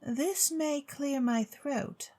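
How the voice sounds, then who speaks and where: Narrator doing a stately lady senator, raised pitch, slow and grave, full of herself, audio storybook. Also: mellow. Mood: grave, pompous. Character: dignified, self-important lady senator making a speech.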